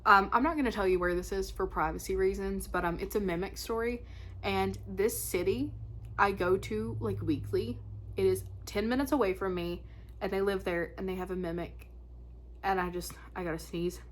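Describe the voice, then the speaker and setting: A woman's voice: mid-range voice, steady tone, moderate pace, a little hiss or hum. A woman chatting casually and reading spooky listener stories in an informal, conversational way, sounding a little stuffed up. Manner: informal, conversational, slangy asides. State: congested, stuffy nose. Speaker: host of a spooky story channel.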